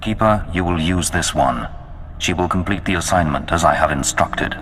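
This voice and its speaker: An actor playing a cold, commanding Sith dark lord in a video game, speaking in a slow, ominous, imperious manner. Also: male voice, low-pitched, a little hiss or hum.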